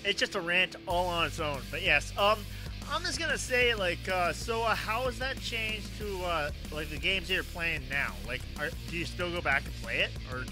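Male podcast host, high voice, very animated, rambling and repetitive casual chat over music. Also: normal speed. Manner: rambling, exclamatory, repeats phrases. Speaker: podcast talk show host. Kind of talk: casual excitable interview chat.

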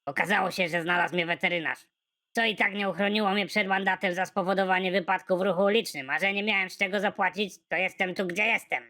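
Deadpan hapless cartoon hero, voiced by a narrator. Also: mid-range voice, fast, lightly acted. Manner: matter-of-fact, deadpan delivery of absurd jokes. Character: naive hapless young man, cartoon hero. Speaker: narrator and protagonist. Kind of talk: animated comedy narration.

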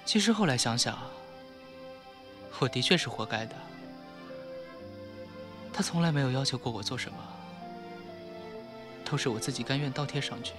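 A man acts out a reserved young professional in an audio drama. His mid-pitched voice is polite and understated, with music clearly playing behind it. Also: animated, normal speed, long pauses, lightly acted. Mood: calm, guarded. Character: mild, reserved young man, translator and former doctor. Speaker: voice actor in a fan audio drama. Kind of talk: Chinese audio drama acting.

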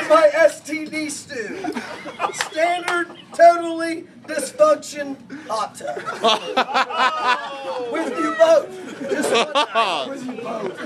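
A middle-aged man giving a rowdy comic wedding toast from cards, shouting and laughing through it, recorded on a distant phone in a crowded party room. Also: very high voice. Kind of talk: comic roast-style toast read from cards. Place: indoor party venue, crowded. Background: party crowd chatter and laughter.

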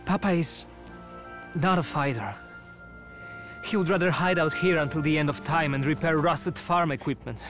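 A man's voice: fairly high pitch, moderate pace, lightly acted. A man playing an idealistic young older brother in a video game, resolute yet tender. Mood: defiant. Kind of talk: cinematic video game acting. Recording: music under the voice.

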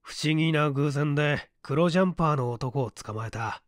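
A man's voice: a little high, normal speed, no echo, studio quality, natural voice. A man voicing an easygoing, whimsical male detective with a nonchalant, wry manner in an audiobook story. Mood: matter-of-fact. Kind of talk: Japanese audiobook character acting.

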